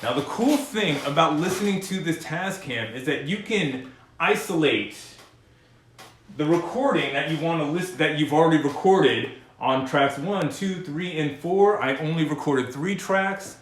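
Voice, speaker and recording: Man, slightly high voice, unhurried halting delivery, casual gear demo host, roomy echo. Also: animated, slight background noise. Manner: halting, pausing mid-sentence between phrases. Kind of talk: casual, unscripted demonstration to camera.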